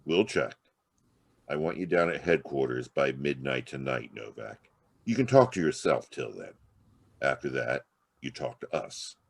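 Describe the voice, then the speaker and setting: A man playing a gruff, menacing, sarcastic police detective in a 1940s-style radio noir, curt and taunting. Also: extremely low pitch, animated, a little slow, heavily acted. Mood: gruff, threatening. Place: live remote video-call performance.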